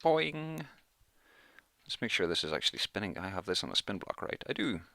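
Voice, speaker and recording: Bright-voiced man doing game commentary. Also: low voice, lively intonation, fast talker, vocal fry, no room sound. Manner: chatty, thinks aloud while playing. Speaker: gaming YouTuber, let's play host. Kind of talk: casual let's play commentary.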